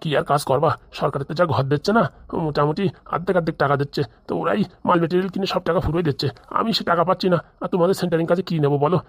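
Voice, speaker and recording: Blunt, chatty comedy-cartoon village uncle, acted by a woman: rapid, slightly low, dry recording. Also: dry recording. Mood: needling, blunt. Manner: chatty, needling, colloquial.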